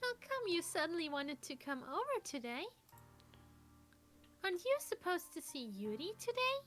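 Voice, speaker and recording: A woman voicing a gentle teenage schoolgirl in a visual novel, cheerful on the surface and quietly sad, with music behind her. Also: extremely high pitch, big pitch swings, moderate pace, exaggerated voice. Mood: subdued, probing.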